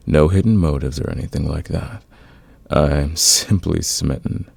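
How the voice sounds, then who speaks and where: Smitten fantasy villain played by a man: extremely low, husky voice, unhurried, teasing and dominant, for intimate ASMR romance. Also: a little mellow, no room sound, exaggerated voice. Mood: confessional, seductive. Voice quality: gravelly, husky. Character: smitten male fantasy villain, patient, dominant, smoothly seductive. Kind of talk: romantic ASMR audio roleplay.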